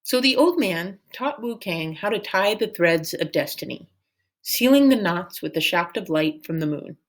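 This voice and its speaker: A woman narrating a storybook as an expressive read-aloud. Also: on the low side, normal speed. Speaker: storyteller reading a book aloud.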